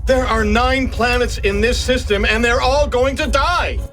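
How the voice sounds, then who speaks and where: A man's voice: fairly high pitch, expressive, normal speed, soft background music, lightly acted. A man playing an easily flustered, theatrical space captain hero in a comic sci-fi audio drama. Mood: panicked. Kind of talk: audio sci-fi comedy acting.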